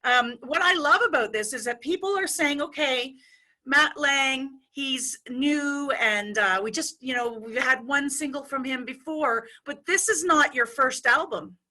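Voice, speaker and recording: A woman's voice: high-pitched, a little slow, sharp tone. A middle-aged woman from Ontario hosting a radio interview, enthusiastic and full of praise for her guest. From Ontario, Canada. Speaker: radio host.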